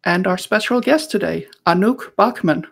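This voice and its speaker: A woman hosts an interview podcast with a mid-pitched voice that has plenty of fry in it. Her delivery is friendly and sounds prepared. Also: moderate pace. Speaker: podcast host.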